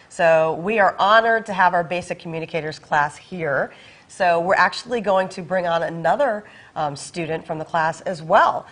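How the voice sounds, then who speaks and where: A woman's voice: mid-range voice, a bit bright. A woman hosting a live studio interview show, welcoming guests and prompting viewers to comment. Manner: upbeat, inviting viewer comments. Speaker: show host.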